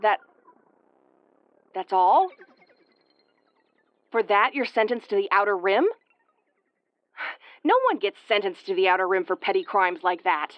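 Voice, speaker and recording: A woman's voice: medium pitch, a little slow, long pauses, muffled recording, natural voice. A woman playing a tough, prickly but secretly caring tsundere starship commander in an intimate audio roleplay, brusque and prone to stammering when flustered. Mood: shocked, worried.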